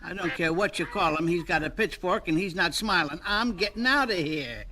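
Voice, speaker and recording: A man voicing a silly, wisecracking, cowardly bald stooge in a slapstick cartoon, with a squeaky, tense voice and clownish delivery. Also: moderate pace, crowd noise, close to an everyday voice. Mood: scared. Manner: goofy, clownish joking. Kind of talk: slapstick cartoon comedy acting.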